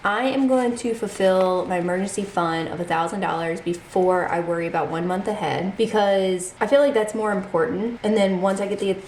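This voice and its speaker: A congested woman talks casually through her weekly budget, reading out numbers at an unhurried pace. Her voice sits at a middle pitch and rings a little in an echoey room, with a faint hum of noise behind her. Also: a little mellow. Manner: chatty, reads out figures slowly. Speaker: budgeting channel host.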